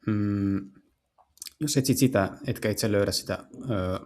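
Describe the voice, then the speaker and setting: A man doing a casual let's play, translating as he goes and haltingly searching for words. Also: on the low side, a little mellow. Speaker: streamer playing and translating visual novels.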